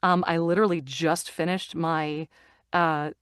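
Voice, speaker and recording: A middle-aged woman, a voice actor and audiobook narrator, chatting in an interview with lively enthusiasm and many restarts. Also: deep voice, a little slow, clear, resonant tone, no echo, studio quality. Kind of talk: casual interview chat. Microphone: studio microphone.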